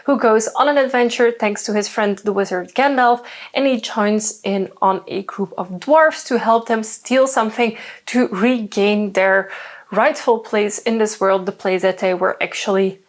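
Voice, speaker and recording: A woman's voice: medium pitch, steady tone, measured pace. A young woman whose first language is Dutch, chatting casually about books with lots of fillers and asides. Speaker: book reviewer. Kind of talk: casual book review talk.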